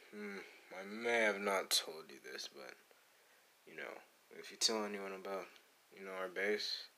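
A man playing a cool, menacing young anime villain in an ASMR roleplay, drawling and teasing in a deadpan, gravelly way. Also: deep voice, heavily acted, rough recording. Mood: quietly menacing. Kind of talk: ASMR roleplay addressed to the listener.

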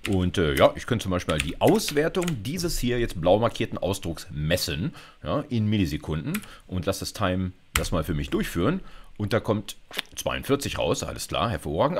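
A man in his fifties with a very low voice and lively, swinging intonation. He lectures informally like a university teacher coding live, speaking conversationally and checking in with listeners often. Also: a little hiss or hum. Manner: conversational, with frequent "okay" and "ja" check-ins. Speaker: university computer science lecturer. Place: indoors, recorded at a computer desk.

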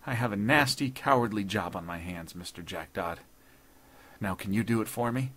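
A man narrating a story plays an older judge, proud and scheming. His speech is formal, deliberate and earnest, with a grave, desperate edge to it. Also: fairly low pitch, a bit bright.

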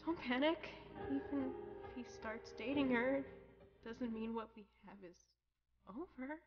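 A woman's voice: high-pitched, unhurried, lo-fi sound. A woman voicing an insecure, jealous, hot-tempered nymph in an emotional webcomic dub. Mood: hurt, jealous. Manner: emotional, swinging from brooding to outbursts. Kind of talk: dramatic webcomic dub acting.

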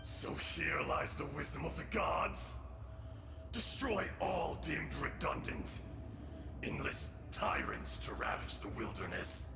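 Slow gravelly dragon villain, bitter and scornful, game music behind. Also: male voice, on the high side, big pitch swings, heavily acted. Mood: scornful, furious. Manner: theatrical, scornful. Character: bitter, vengeful ancient sealed dragon speaking through a host. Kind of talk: dramatic game villain acting.

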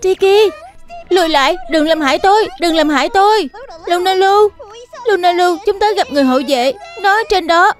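An actor voicing an eager, excitable childlike animal cub in a dubbed children's cartoon. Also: extremely high pitch, normal speed, soft background music. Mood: alarmed.

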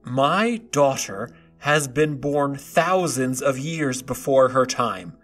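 Storybook audio drama king, male actor: elderly, doting, anxious, unhurried and deliberate. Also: mid-range voice, expressive, a bit bright, soft background music. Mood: troubled.

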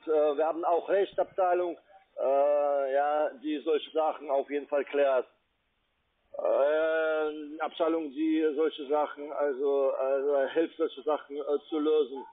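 A non-native German speaker, a man with a foreign accent, making a halting, repetitive sales pitch. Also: steady tone. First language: not German. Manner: halting, repetitive, tag-question fillers. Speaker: call-centre caller pitching investments. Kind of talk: sales pitch on a phone call. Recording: tinny narrowband phone line.

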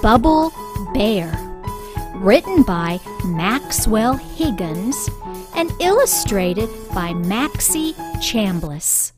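Very slow, lively woman reading to children. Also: medium pitch. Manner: expressive, deliberate, with drawn-out emphasis. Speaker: children's story reader. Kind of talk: read-aloud storybook narration for young children. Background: background music.

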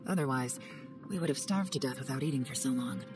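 A woman's voice: rumbling low, fast, music in the background. A woman voicing a composed secret agent in a game, dry and confident. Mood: cool. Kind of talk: game cutscene voice acting.

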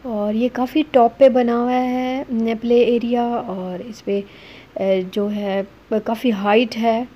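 A woman narrating a casual family vlog as a mother, conversational and hesitant with drawn-out pauses. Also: a little high, steady tone, highs cut off. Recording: noisy ambient background behind voice.

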